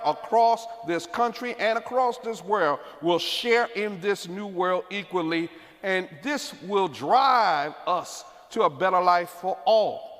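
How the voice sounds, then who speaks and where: A man on a panel speaks with a notably high voice, passionate and emphatic as he delivers a rousing closing statement. Long reverb trails his words in a large hall. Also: fairly bright tone. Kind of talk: rousing speech.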